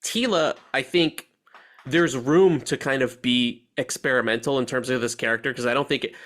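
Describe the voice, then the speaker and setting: A man hosting a movie podcast, chatting casually and giving animated opinions with slang and swearing. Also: medium pitch, moderate pace. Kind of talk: casual chat, film discussion.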